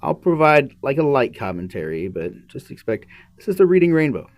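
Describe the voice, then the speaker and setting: A man's voice: mid-range voice, very animated, a little fast. A man hosting a podcast, reading a book aloud and breaking off into casual, musing commentary. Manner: musing, informal asides to the listener.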